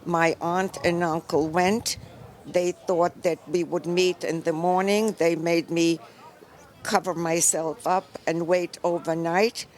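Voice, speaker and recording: Slow, measured older woman. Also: lowish voice, steady tone, a little mellow. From Poland. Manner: measured, composed storytelling. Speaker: Holocaust survivor interviewee. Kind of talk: live interview testimony.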